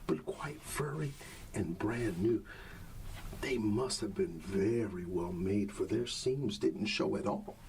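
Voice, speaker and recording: A man softly reading a bedtime story in an ASMR style, soothing and often dropping into a whisper. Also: medium pitch, recorded from a distance, a little hiss or hum, poor audio. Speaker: ASMR storyteller playing a dad.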